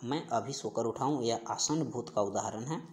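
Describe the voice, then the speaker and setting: A man's voice: medium pitch, moderate pace, darkish tone. A man teaching an exam-preparation class, going through questions and answers in an instructional, repetitive way. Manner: repetitive, instructional, stressing key terms by repeating them. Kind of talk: explaining quiz questions in an online class.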